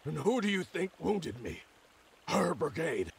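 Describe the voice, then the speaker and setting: Gravelly, hot-headed army general. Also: male voice, fairly high pitch, very animated, a little slow, full character voice. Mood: hostile. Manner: forceful, suspicious.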